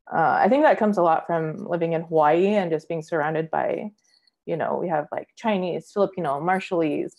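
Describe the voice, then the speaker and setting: A woman's voice: low voice, a little mellow. A young woman talking on a video call from home as a comics creator guest, hesitant and full of fillers while she thinks aloud. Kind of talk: casual panel conversation. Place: home room, indoors. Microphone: video call webcam.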